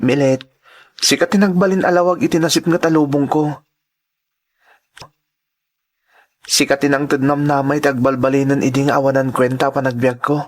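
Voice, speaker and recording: A man's voice: medium pitch, fairly even intonation, a little fast, close to an everyday voice. A man with a Filipino Ilocano accent playing an earnest, grateful young working student in a sentimental drama. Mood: grateful, tender. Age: young adult. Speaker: drama actor. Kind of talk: sentimental radio-style drama acting.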